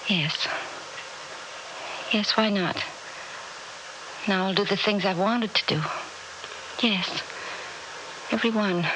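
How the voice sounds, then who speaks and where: An actress in a 1960s radio drama playing a fervent woman who has found joy in knowing her days are numbered, speaking in a halting, breathy, impassioned way. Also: mid-range voice, natural voice. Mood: elated, urgent. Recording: noisy old radio drama recording.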